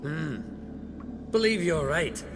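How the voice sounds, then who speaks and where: An actor playing a bold young island warrior and heir in a video game, talking in clipped, hearty, folksy phrases. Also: male voice, on the high side, lively intonation, slow, noisy background. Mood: hearty, grateful. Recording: game soundtrack music behind.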